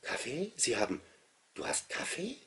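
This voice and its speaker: A man voicing a gentle, childlike wandering boy, soft, guileless and full of wonder, in a literary story reading. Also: mid-range voice, wildly swooping pitch, moderate pace, vocal fry, crowd noise, pitched above the performer's own voice. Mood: amazed, curious.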